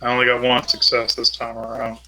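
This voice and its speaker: A man's voice: mid-range voice, completely flat delivery, a little fast, pushed and bright, poor audio. A man playing a tabletop game, talking casually with the group as a player.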